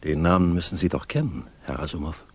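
An actor playing a courteous, reserved middle-aged English gentleman in a 1960s radio drama, speaking drily and deliberately. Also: low-pitched, slightly rough. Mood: polite, calm. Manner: polite, dry, deliberate. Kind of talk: 1960s German radio drama acting, dialogue and narration.

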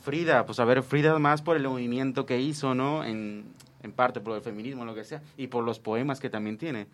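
A man's voice: mid-range voice, steady tone, moderate pace. Laid-back Mexican man on a podcast. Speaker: guest. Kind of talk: casual podcast chat.

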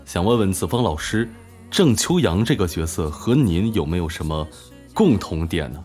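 A man's voice: low-pitched, very animated, normal speed, darkish tone. A man in casual conversation, polite, tending to repeat his phrases. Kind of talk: casual chat. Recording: music behind the voice. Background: music.

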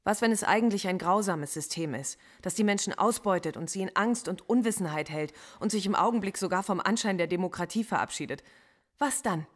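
A female actor voices a philosophy professor under interrogation in a radio drama. She speaks at a middle pitch, articulate and argumentative. She stays defiant and answers with dry irony. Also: brisk, natural voice. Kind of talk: German radio play acting.

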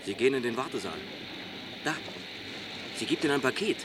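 A man's voice: medium pitch, expressive, a little fast, lightly acted. Actor as a watchful male detective, noisy surroundings. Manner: terse, watchful observations. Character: alert, deferential junior police detective. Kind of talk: German crime radio drama acting. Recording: noisy station ambience, vehicle sounds.

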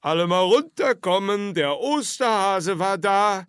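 A man's voice: sits high, animated, measured pace, fairly bright tone, smooth, dry recording, clean studio sound, voice pushed up. A man voicing a lonely, plain-spoken man from an apartment house in a storybook reading, with a questioning manner. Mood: eager, inviting.

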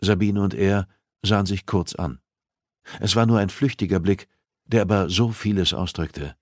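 A man's voice: low voice, moderate pace, no room sound, studio quality. A man reading a thriller novel aloud as scripted audiobook narration.

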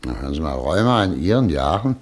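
An actor playing a calm, measured doctor in a radio play. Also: male voice, rumbling low, full character voice.

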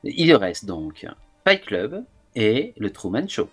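Measured man reading quiz questions. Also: lowish voice, sing-song, fairly bright tone, breathy edge, soft background music. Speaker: quiz host. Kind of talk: podcast quiz chat.

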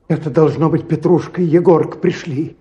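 An actor playing a timid, devoted old theatre prompter in a radio drama, tearful and pleading. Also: male voice, on the high side, steady tone, a little fast, lo-fi sound, noisy background, natural voice. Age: older.

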